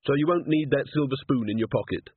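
Guarded, terse storybook watchwoman, acted by a man, slightly husky, dry humour, radio-like band. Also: medium pitch, quick pace, dry recording, natural voice. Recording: tinny band-limited radio-like sound.

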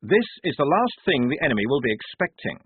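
A man's voice: medium pitch, sing-song, a little fast, husky, no echo, voice pushed up. A man voicing a pompous, earnest young army lieutenant who speaks formally and precisely. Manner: formal, precise officer's speech. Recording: tinny phone-like dry recording.

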